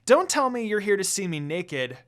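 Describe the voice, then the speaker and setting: A kind young priestess, played by a narrator, talks with lively, swinging pitch. She is an earnest, outspoken woman, easily flustered, in a fantasy tale. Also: normal speed. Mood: embarrassed, indignant.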